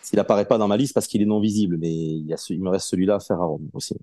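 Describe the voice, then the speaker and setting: Low, dark-voiced man in his forties. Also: very fast, no echo. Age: 40s. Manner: relaxed, hesitant, many fillers and restarts. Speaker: podcast guest. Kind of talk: casual interview chat.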